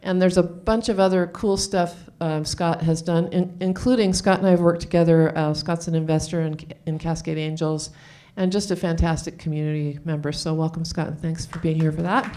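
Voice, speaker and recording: A woman with a slightly low voice speaks into a handheld mic as host of a live event. She is informal and chatty, introducing guests with halting pauses as she works through her notes. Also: fairly even intonation, warm tone. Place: live event room, on stage. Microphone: handheld microphone.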